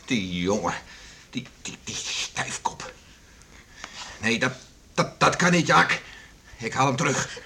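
Radio drama: hot-tempered island father, middle-aged,, gruff, very animated pitch, slightly hissy old recording. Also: full character voice. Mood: shocked, furious. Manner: gruff, grumbling, quick to flare up.

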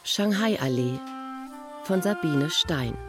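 A woman's voice: sits low, expressive, slow pace. A woman reading a scripted credits announcement as an announcer. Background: saxophone music.

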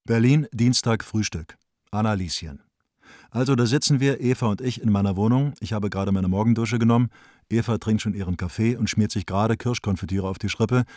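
A man playing a lively, chummy young bohemian who reads out a letter to a friend in a radio drama. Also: lowish voice, fairly quick, dry recording, clean studio sound, natural voice. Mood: cheerful, cosy.

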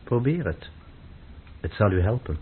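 An actor playing a grave, troubled man in a radio play, speaking formally and deliberately with a husky voice. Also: lowish voice, big pitch swings, a little hiss or hum. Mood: calmly instructing. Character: grave, troubled man obsessed with purity, calmly instructing. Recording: muffled, phone-like old radio recording.

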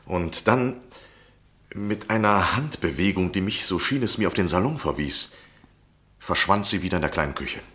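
Classic radio drama lead, insistent, uneasy gentleman, deep voice, measured confessional storytelling. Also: animated. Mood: tense recounting. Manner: deliberate, literary, confessional. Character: anxious, insistent gentleman journalist recounting his obsession. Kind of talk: 1960s German radio play acting and narration.

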